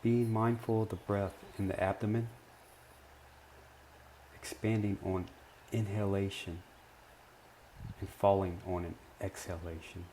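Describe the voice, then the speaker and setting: A man leading a guided meditation, speaking gently and in measured short phrases with long pauses between them. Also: low-pitched, flat delivery, a little hiss or hum.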